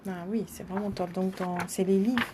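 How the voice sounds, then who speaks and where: Mellow-voiced woman teaching bilingually, noisy background. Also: mid-range voice, fairly even intonation, moderate pace. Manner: explanatory, encouraging, switches between two languages. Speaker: host guiding a child's reading and translating. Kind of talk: informal bilingual teaching, chatting with live viewers.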